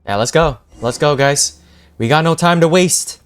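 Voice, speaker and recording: A young man giving casual game reaction commentary as a streamer, excitable and full of slangy exclamations. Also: a little high, moderate pace.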